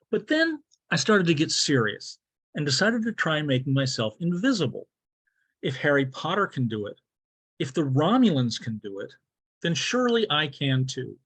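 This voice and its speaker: A man's voice: fairly high pitch, fairly bright tone. A man delivering a rehearsed humorous speech as a storyteller, theatrical and mock-serious, with emphatic delivery. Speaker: club speech contestant.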